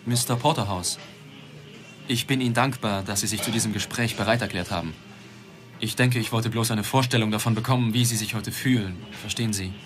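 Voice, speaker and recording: Guy playing sceptical reporter man telling his story, radio play, low wry voice, music under it. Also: a little fast, lightly acted. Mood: probing. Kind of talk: radio drama dialogue and first-person narration. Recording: music behind the voice.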